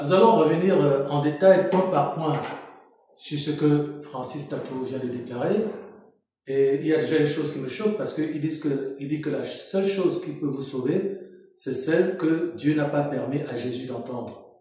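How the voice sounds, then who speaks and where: A man's voice: fairly high pitch, normal speed, dark tone. A man born in Cameroon, preaching as a pastor and arguing indignantly point by point against another preacher's words. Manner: indignant, argumentative, emphatic. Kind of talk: sermon rebuttal. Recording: strong echo.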